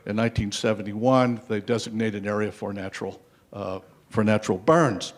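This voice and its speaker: A man's voice: fairly low pitch, normal speed. Older man lecturing on a panel. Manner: lecturing, wry asides with frequent fillers. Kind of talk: conference panel talk. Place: conference stage, seated. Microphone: handheld mic.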